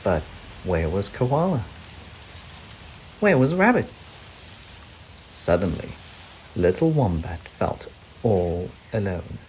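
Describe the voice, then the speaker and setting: A man narrating a children's story in an expressive, gentle, deliberate way. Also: low-pitched, slight background noise, rough recording. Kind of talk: children's read-aloud narration. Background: crickets, animal and nature sound effects.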